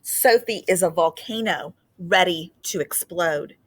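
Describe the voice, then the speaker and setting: Bright-voiced woman teacher reading aloud. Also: on the low side, measured pace. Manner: gentle, patient explaining with frequent "um" fillers; expressive storybook reading. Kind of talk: explaining to children and reading a picture book aloud.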